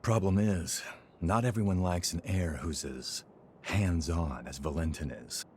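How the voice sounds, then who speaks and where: An actor playing a loyal, frank young fighter in a video game, talking casually. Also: male voice, low voice, measured pace, a little hiss or hum. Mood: frank, easygoing. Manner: casual, colloquial. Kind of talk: video game cutscene dialogue.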